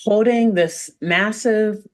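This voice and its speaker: A young woman co-hosting a casual podcast, retelling a cartoon episode in an animated, joking way. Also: medium pitch, slow pace. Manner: animated storyteller, fond of joking asides. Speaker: podcast co-host recapping a cartoon. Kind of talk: casual chat.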